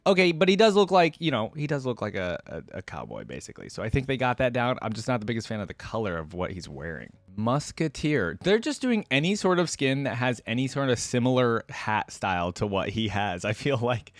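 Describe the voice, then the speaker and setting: A man giving casual reaction commentary as a video host, informal and thinking out loud as he goes. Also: medium pitch, no echo.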